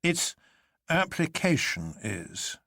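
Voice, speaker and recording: A man's voice: on the high side, sing-song, takes it slowly, vocal fry, pushed and bright, breathy edge, dry recording, studio quality, pitched above the performer's own voice, heavily acted. A man voicing a scornful, worldly detective in an audiobook, lecturing in an emphatic, opinionated way. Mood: grimly explaining.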